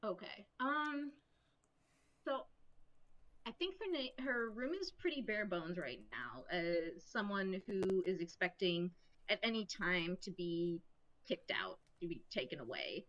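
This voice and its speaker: A woman playing a tabletop roleplaying game, haltingly and casually describing her character through a dull microphone. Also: medium pitch, animated, a bit bright.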